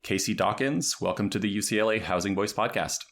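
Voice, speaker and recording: A man hosting a policy podcast, reading a scripted intro and then chatting with guests in a measured way. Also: on the low side, fairly bright tone. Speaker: podcast host.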